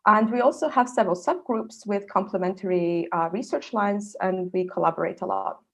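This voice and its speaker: A woman's voice: mid-range voice, fairly quick, gravelly. A woman from Serbia, a research fellow in her thirties, giving an online scientific presentation with frequent fillers.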